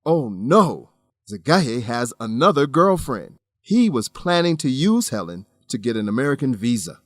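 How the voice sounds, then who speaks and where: A man's voice: mid-range voice, very animated, normal speed, no room sound. A man narrating a radio drama and English lesson as host, explaining expressively in scripted, learner-friendly sentences.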